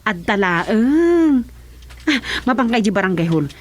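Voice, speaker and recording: A woman's voice: on the high side, very animated, a little slow. A woman with an Ilocano accent playing a concerned, outspoken younger village wife in a radio drama.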